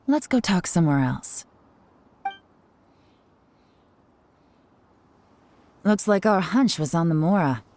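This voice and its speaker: A woman playing a shrewd, cool and confident intelligence operative in a video game, speaking in a smooth, composed, knowing way. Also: lowish voice, very animated, normal speed, darkish tone, a little hiss or hum. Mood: sly, suspicious. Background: game music.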